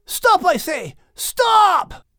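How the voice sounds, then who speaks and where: Storybook dog: Scottish, feisty and protective, high swooping voice, dry sound. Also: male voice, sing-song, drawn-out words, bright, forceful tone, dry recording, raised voice, heavily acted. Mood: urgent, commanding.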